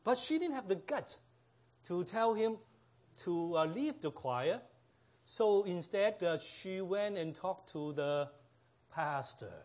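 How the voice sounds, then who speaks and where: High-voiced slow-talking pastor from Hong Kong. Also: male voice, expressive, a little mellow. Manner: unhurried, laughs at own jokes, frequent fillers. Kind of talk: sermon with humorous stories.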